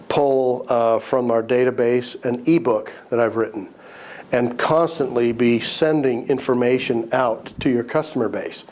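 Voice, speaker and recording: A male instructor, lecturing entrepreneurs in a relaxed, conversational way. His voice sits at an ordinary middle pitch and sounds a little raspy from a lingering cold. He clears his throat now and then and circles back to repeat his main points. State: recovering from a cold, slightly raspy. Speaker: business course instructor.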